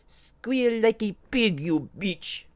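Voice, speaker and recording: A man's voice: sits low, slow, no room sound. A man voicing a group of cruel, mischievous imps who talk in a sneering, demanding way.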